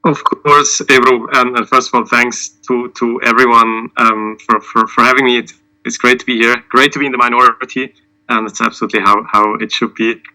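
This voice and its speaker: A man from Switzerland speaking as a panelist, giving an informal personal account in a halting, self-deprecating way. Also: mid-range voice, level tone, bright, forceful tone, poor audio. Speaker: panelist, sports lawyer.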